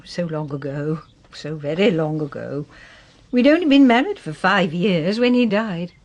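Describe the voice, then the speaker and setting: An actress playing a proud, wistful English widow in her sixties who is ailing, in a solemn, measured radio drama style. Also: on the low side, expressive, a little mellow, dull audio. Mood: wistful, weary. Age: 60s.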